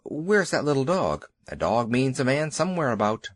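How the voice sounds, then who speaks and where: A man's voice: mid-range voice, big pitch swings, moderate pace, dry recording. A man putting on a proud, haughty troop horse, a storybook beast with a lofty, clipped manner. The voice is dry and close.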